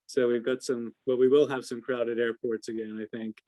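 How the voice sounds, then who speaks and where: A man's voice: medium pitch, little pitch movement, moderate pace, mellow, no room sound. An American man giving informal analysis as an investment adviser answering questions on a call, hesitant and hedging as he thinks aloud.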